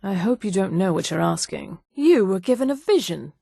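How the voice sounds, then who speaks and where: A high elf woman from a fantasy game, haughty and darkly devout. She speaks at a middling pitch in formal, measured phrases with dry superiority. The sound is close and dry, with no room to it. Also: animated, vocal fry, warm tone, dry recording. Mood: curt, aloof. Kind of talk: scripted video game character dialogue.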